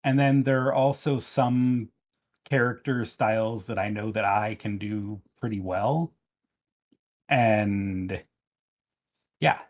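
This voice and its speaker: A man's voice: lowish voice, takes it slowly, pauses often. A man speaking casually as a voice actor guest on a podcast. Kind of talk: casual chat.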